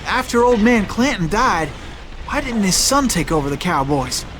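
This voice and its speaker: An actor playing a polite, curious young frontier admirer. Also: male voice, high voice, sing-song, moderate pace, a bit bright. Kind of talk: dramatic dialogue in a Western game. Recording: voice over music.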